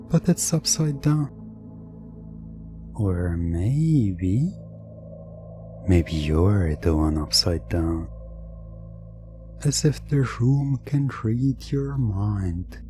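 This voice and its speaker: A narrator giving a hypnotic ASMR roleplay in a deliberate, repetitive way, sometimes slipping into a near-whisper. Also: medium pitch, animated, music in the background. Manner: deliberate, repetitive phrasing with lingering pauses.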